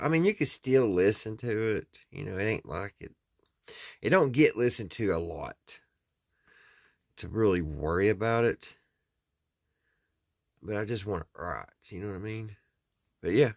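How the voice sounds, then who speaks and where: A man's voice: lowish voice, creaky, no echo, clean studio sound. An American man talking casually and folksily, thinking aloud as he hosts a hands-on repair vlog. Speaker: host of a radio repair video.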